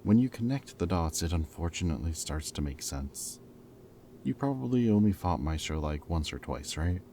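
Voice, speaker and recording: Casting: man as wry ex-villain friend, bottom-of-range dark voice, conversational and earnest, some noise behind. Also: low-pitched, sing-song, moderate pace. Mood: gentle, concerned. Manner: casual, teasing, earnest. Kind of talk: roleplay audio drama acting, conversational.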